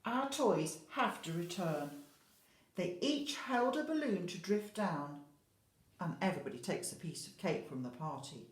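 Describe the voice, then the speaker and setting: A woman's voice: fairly low pitch, expressive, measured pace. A woman teacher reading a picture book expressively to young children, addressing them directly with questions and asides. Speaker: infant school teacher reading a story. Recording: roomy, echoey indoor sound.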